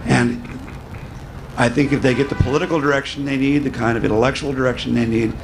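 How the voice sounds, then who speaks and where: A man's voice: medium pitch, steady tone, fast talker, gravelly, over music. A middle-aged man on a panel speaking into a table mic, giving earnest, reflective answers in a large event tent. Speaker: panelist columnist. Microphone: table mic on a stand.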